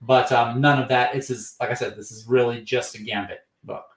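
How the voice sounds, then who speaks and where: A man's voice: mid-range voice, fairly even intonation, brisk, far from the mic, a little hiss or hum. A man from Louisiana chatting through a comic recap on a live stream. He rambles, piles on fillers and slips into little quoted voices. His voice sounds roomy and sits a bit back from the mic. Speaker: comic book show host. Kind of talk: casual livestream review chat.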